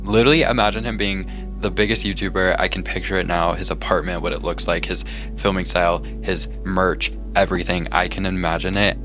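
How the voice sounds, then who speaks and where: A man's voice: deep voice, fairly bright tone. A young man hosting his own channel, talking casually and earnestly, rambling with lots of fillers. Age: young adult. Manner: rambling, earnest, heavy use of "like" and "literally". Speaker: channel host. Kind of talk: casual chat. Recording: music playing underneath.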